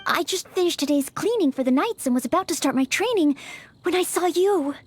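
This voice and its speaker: An actress playing a polite, eager young maid who dreams of knighthood in a video game, speaking with apologetic hesitance. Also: female voice, very high voice, expressive, brisk, slight background noise. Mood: earnest, polite.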